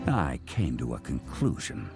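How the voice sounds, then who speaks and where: A man playing a brooding hard-boiled crocodile detective, narrating in a solemn, deliberate mock-noir style. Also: rumbling low, very animated, creaky, music in the background, full character voice.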